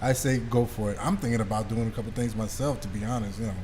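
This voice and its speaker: A man's voice: fairly low pitch, fairly even intonation, fast, a little mellow, slight background noise. A man hosting a podcast, chatting casually and teasingly with plenty of swearing. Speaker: podcast host. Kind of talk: casual joking group chat.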